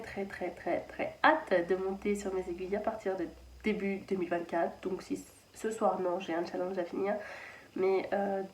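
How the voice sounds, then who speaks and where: A chatty woman in her thirties talks her way through a knitting update, rambling and pausing to restart her sentences. Her mid-pitched voice sits a little back from the mic in an echoey room, with a faint hum of the house behind her. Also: darkish tone, far from the mic. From France (Anjou). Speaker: knitting channel host. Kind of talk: casual knitting podcast chat. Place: children's playroom at home.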